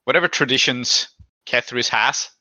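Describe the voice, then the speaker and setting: A man's voice: medium pitch, normal speed, bright, forceful tone, dry recording, low-quality recording. A man hosting a tabletop role-playing game as game master, casually setting scenes and asking explanatory, inquisitive questions of the players. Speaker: game master and host of a tabletop actual-play podcast.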